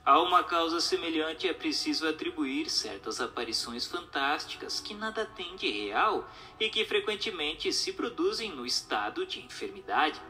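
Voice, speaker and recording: A Brazilian man delivers a formal scripted narration. His voice is bright and a little high, moving widely in pitch as he declaims with emphatic phrasing.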